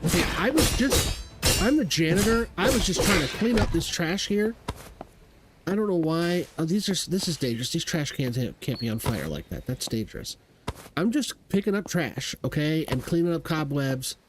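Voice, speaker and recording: A man voicing an indignant male janitor in a video game, with a whiny, raised pitch. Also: sing-song, moderate pace, background noise. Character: fussy male janitor, put-upon and indignant.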